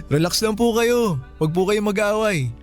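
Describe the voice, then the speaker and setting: An actor playing a kindly, respectful Filipino security guard, speaking gently in a radio drama. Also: male voice, sits high, measured pace, soft background music.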